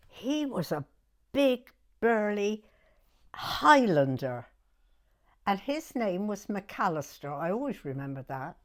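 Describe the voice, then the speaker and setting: A woman in her nineties from Dorset with a southern English accent, reminiscing in an interview in a hesitant, halting way and repeating words. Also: fairly low pitch. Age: 90s. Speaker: oral history interviewee.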